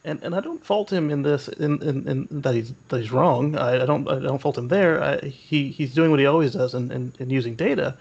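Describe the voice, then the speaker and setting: A man's voice: medium pitch, a little fast, gravelly, few pauses, a little mellow, slightly rough, dull audio. A man co-hosting a podcast in casual, opinionated discussion, often repeating words as he feels out a point. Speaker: podcast co-host.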